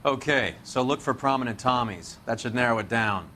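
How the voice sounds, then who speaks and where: An actor playing a smooth, cynical, crooked American police detective in a 1940s noir video game. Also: male voice, mid-range voice, brisk, noisy background, natural voice.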